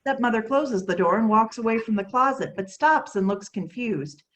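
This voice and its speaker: A woman's voice: fairly high pitch, moderate pace. A woman narrating, reading out the stage directions of a children's play.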